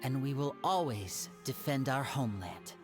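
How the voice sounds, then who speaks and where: A man plays a loyal young gentleman with a solemn, measured delivery. His voice sits at a middling pitch, with clear music behind it, in anime game dialogue. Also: animated, lightly acted. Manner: measured, sincere, solemn explaining. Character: earnest, composed young man, loyal and polite. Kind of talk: anime video game voice acting.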